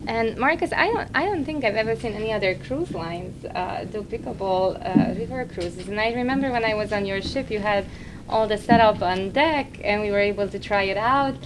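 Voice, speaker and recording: A woman's voice: mid-range voice, animated, moderate pace. A woman hosts a podcast interview in a friendly way, putting questions to her guests and laughing often. A faint hum runs under her voice. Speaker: host.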